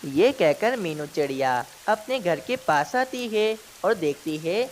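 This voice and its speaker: A woman's voice: on the low side, animated, unhurried, a little hiss or hum. A woman narrating a scripted children's story as its storyteller.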